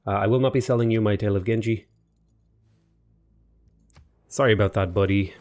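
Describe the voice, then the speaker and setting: A lowish-voiced man talks his way through a strategy game, thinking aloud and chatting to his units. He sounds a bit sniffly and off-color. Soft game music plays faintly beneath him. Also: fairly even intonation, fairly quick. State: sniffly, slightly unwell. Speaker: gaming YouTuber. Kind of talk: casual let's play commentary.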